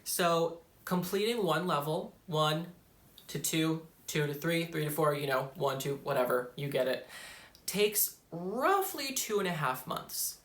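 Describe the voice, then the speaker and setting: A man's voice: a little high, animated, measured pace, fairly bright tone. A young man vlogging casually to the camera, rambling and self-deprecating as he talks about his studies. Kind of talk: casual vlog talk to camera. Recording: echoey room.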